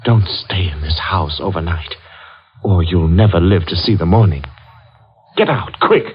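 An actor playing an agitated, frightened rebel brother in a 1940s radio thriller, husky and theatrical, urgently pleading and warning. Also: male voice, deep voice, expressive, unhurried, faint music behind. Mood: taunting, warning. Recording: dull old 1940s radio recording.

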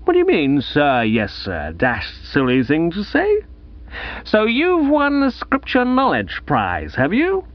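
A man voicing a tipsy, haughty, belligerent young gentleman with drawn-out, accusing emphasis in a comic audiobook. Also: fairly high pitch, wildly swooping pitch, dull audio, voice pushed up, exaggerated voice. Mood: suspicious, mocking. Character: tipsy, cocky, belligerent young gentleman handing out school prizes.

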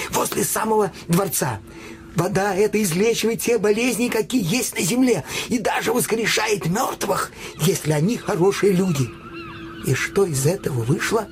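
An actor playing a cowed, world-weary doctor in a theatrical radio play, urging others to give up in an agitated, storytelling way. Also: male voice, high voice, background noise.

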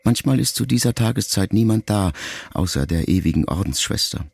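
A middle-aged actor playing a reflective grown son, a writer, who addresses his dying mother in a measured, confessional literary monologue for a radio play. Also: on the low side, animated, vocal fry, darkish tone, slightly rough, exaggerated voice. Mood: sombre, brooding. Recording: music behind the voice. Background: music.

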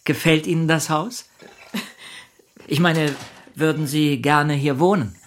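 Subdued, hesitant middle-aged bachelor. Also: male voice, on the high side, steady tone, slow, a little hiss or hum. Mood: shy, hesitant. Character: shy, modest middle-aged bachelor stamp collector, anxious and brooding. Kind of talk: radio play acting with inner monologue.

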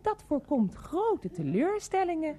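Preachy fruit shop lady in a radio play, high and very singsong, formal and longwinded. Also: very animated, a little slow, warm tone. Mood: prim, insistent. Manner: verbose, formal, lecturing. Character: pedantic, insistent greengrocer saleswoman who moralizes at customers. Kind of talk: comic radio play acting.

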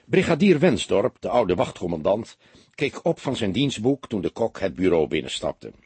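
A man narrating a crime audiobook in an expressive, scripted storytelling style. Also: on the low side, normal speed, slightly rough, dry recording.

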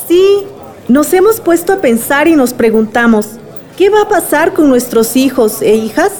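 Actress playing anxious village mother, high-pitched, questioning, radio drama. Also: animated, moderate pace, darkish tone. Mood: worried.

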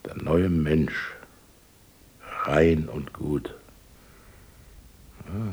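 An actor playing a rich older village miller, gruff and scheming, who rants and then broods in a radio drama. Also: male voice, a little mellow, heavily acted. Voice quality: gravelly, husky voice. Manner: blustering, then brooding and low.

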